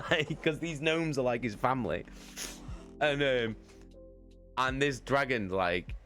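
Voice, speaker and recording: A man's voice: mid-range voice, animated, takes it slowly, fairly bright tone, soft background music. A man on a live stream animatedly telling a tabletop game story, swearing often and laughing mid-sentence. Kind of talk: casual anecdote on a live stream.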